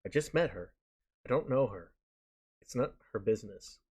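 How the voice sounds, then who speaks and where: A man reading visual novel narration aloud on a stream, haltingly, often stumbling over words and correcting himself. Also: medium pitch, expressive, a little mellow, husky. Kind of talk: live read-aloud of narration. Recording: guitar music behind the voice. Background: game background music with guitar.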